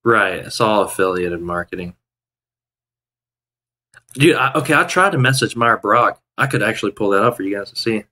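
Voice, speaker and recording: A man's voice: fairly low pitch, normal speed, long pauses, pushed and bright. A man in his thirties chatting casually on a day-trading live stream from home, rambling with restarts and fillers. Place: home room, indoors. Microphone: headset.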